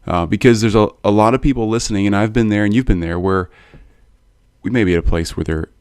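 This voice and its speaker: Man, very low voice, dry close sound, interview host, rambling questions. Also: fast, a little mellow, clean tone, dry recording. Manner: meandering, hesitant, many fillers and restarts. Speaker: podcast host.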